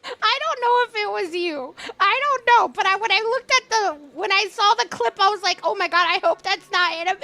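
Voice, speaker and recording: A young woman streaming live, chatting casually with a hoarse voice and telling an animated story full of laughs. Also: very high voice, normal speed. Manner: animated storytelling, laughs often, acts out quotes.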